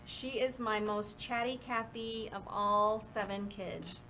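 A woman's voice: medium pitch, slow, pushed and bright, a little hiss or hum, rough recording. A middle-aged woman co-hosting a casual kids' craft livestream, upbeat and encouraging as she guides a child through each step. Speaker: co-host and mother of the young crafter.

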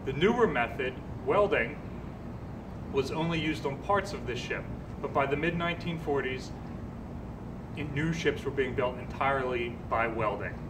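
Male curator, 30s, calm explainer tone, mid pitch, outdoor deck with heavy background noise. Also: moderate pace. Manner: steady, informative, points things out while crouching. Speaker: museum curator. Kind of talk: guided tour explanation. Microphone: camera some distance away. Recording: distant mic with loud outdoor noise.

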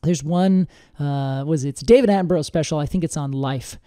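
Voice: mid-range voice, brisk, dark tone, smooth, no room sound, studio quality. A podcast host chatting casually and explaining things in an informal, enthusiastic way.

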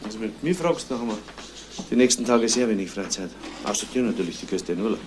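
A brisk, wry police assistant in a crime radio play , acted by a man. He chatters officiously at a quick pace, sounding roomy and away from the microphone. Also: lowish voice, expressive, natural voice. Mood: grumbling, wry. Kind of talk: German crime radio drama acting. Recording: roomy, distant, slight noise.

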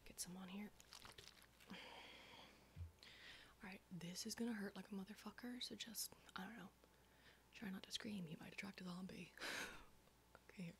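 A woman's voice: medium pitch, steady tone, brisk, slight background noise, lightly acted. A woman playing a brash, wisecracking zombie-apocalypse survivor in a whispered ASMR horror roleplay, casual, rambling and sweary. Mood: teasing, blunt.

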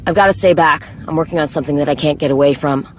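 A woman's voice: deep voice, rapid-fire, slight background noise, natural voice. A woman playing a tough, guarded young warrior in a video game, speaking bluntly and drily. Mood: businesslike, determined. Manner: blunt, dry, no-nonsense. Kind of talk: video game cutscene acting.

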